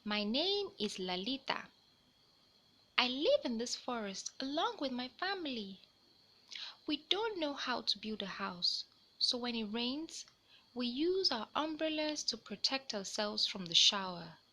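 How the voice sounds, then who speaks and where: Woman reading as a tiny timid girl, high voice. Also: sing-song, unhurried, full character voice. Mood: shy, friendly. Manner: soft, timid, polite.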